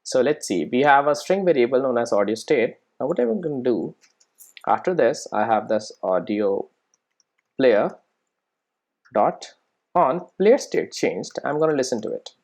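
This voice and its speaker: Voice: mid-range voice, sing-song, a little slow, vocal fry, darkish tone. A programming instructor giving a casual step-by-step screen-recorded tutorial, often checking in with "right?" and "okay".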